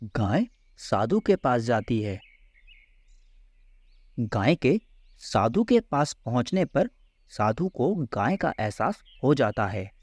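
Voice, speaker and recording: A man narrates a children's story at an unhurried pace. His intonation rises and falls in a lively way, and the recording is close and dry. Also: medium pitch, dry recording.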